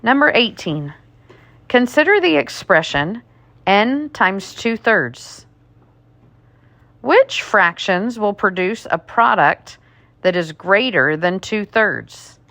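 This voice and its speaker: A woman with a mid-range voice reads test questions aloud like a teacher. Her pace is extremely slow and deliberate, with each item set out separately. The sound is dry, with little room around it. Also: mid-range voice, big pitch swings, fairly bright tone, no room sound.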